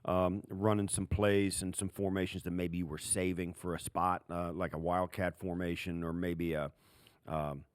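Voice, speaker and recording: A man with an American accent giving casual football analysis as a podcast co-host, in a loose, halting way that piles up examples. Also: low voice, little pitch movement, clear, resonant tone, no room sound, clean studio sound.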